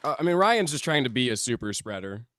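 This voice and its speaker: A man with a mid-pitched voice banters casually as a co-host. He jokes at his own expense. The recording is dry and free of room sound. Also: big pitch swings, fast, a bit bright, dry recording. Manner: joking, self-deprecating. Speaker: co-host, improviser.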